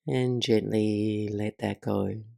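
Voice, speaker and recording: Slow, low-key woman guiding meditation, creaky voice. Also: fairly even intonation, dry recording, studio quality. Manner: soothing, measured, with soft pauses. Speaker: meditation teacher and host. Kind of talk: guided meditation narration.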